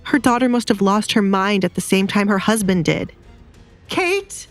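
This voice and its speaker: A woman's voice: medium pitch, steady tone, fairly quick, faint music behind. A woman narrator reading a scripted romance drama.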